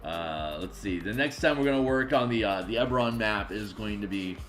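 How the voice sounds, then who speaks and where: A raspy, tired man chatting casually on a live stream while making game art, rambling and muttering to himself as he works. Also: lowish voice, normal speed, a bit bright, faint music behind. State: tired, just woke up.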